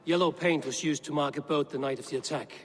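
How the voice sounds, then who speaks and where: A man playing a stoic, grim Viking warrior in a video game, speaking in blunt, measured, probing questions. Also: on the high side, in a large hall, close to an everyday voice. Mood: grave, probing. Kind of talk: video game dialogue acting.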